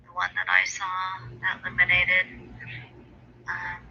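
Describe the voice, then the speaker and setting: A woman speaking softly, often in a whisper, during a recorded meditation session. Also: fairly low pitch, completely flat delivery, measured pace, gravelly, slight background noise. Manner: soft, hushed, often whispering. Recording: dull lo-fi playback.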